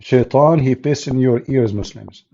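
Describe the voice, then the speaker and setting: A man's voice: medium pitch, a little slow, warm tone, muffled recording. A man hosting a combative call-in debate, speaking blunt, mocking non-native English. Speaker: host of call-in debate show.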